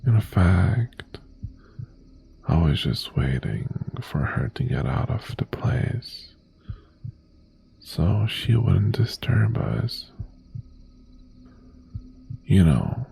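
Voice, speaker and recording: A man playing an obsessive mafia boss ex-boyfriend in an intimate ASMR roleplay, softly threatening and possessive yet tender, in a gravelly half-whisper. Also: sits low, sing-song, exaggerated voice. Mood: coaxing, possessive. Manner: slow, deliberate, softly threatening.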